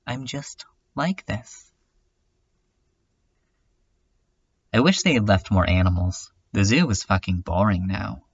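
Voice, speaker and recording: A man's voice: lowish voice, animated, moderate pace, breathy edge, muffled recording. A man voicing a lonely, weary cartoon girl in a reflective, wry, melancholic first-person monologue, as in a fan dub. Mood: anxious, bleak.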